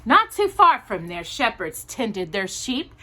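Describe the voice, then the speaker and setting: A woman hosting a children's storytime, reading aloud expressively in a deliberate storybook manner with casual asides. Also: on the high side. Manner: animated, deliberate storybook delivery.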